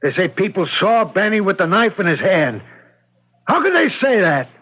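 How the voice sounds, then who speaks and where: A middle-aged working-class father in an old radio drama, desperate and agitated. His voice sits fairly high and sounds husky, with halting, repeated pleas. The recording is dull and lo-fi. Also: sing-song, heavily acted. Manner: pleading, agitated, halting repetitions. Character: desperate working-class father, fuel truck driver, pleading for his son.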